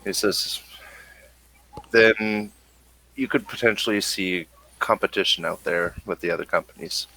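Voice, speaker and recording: A man with a gravelly voice narrating casually as the game master of a tabletop game. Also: lowish voice, measured pace, long pauses, pushed and bright, poor audio.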